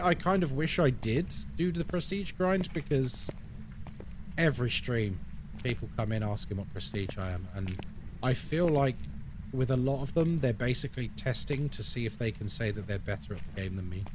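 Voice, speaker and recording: A man's voice: medium pitch, expressive, normal speed, a little hiss or hum. A man from London with a London accent, casually chatting with viewers and explaining the game as he plays on a live stream. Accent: London English. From London, United Kingdom. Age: adult, over 20. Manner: chatty, explains the game while answering chat.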